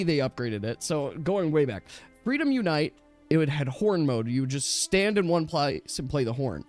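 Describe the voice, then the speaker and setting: A man chatting casually and at length as a gaming stream host, emphatic and animated, often correcting himself. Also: fairly high pitch. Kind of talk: casual rambling gamer chat. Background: background music.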